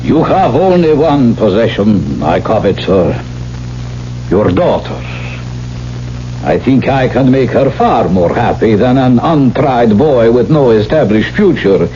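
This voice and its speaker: A man acting in a 1970s radio drama as a scheming, courtly older suitor with formal, measured speech. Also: mid-range voice, lively intonation, mellow, lightly acted. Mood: calculating, courtly. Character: smooth, calculating older suitor gambler, scheming for a bride. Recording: muffled, hissy old radio broadcast.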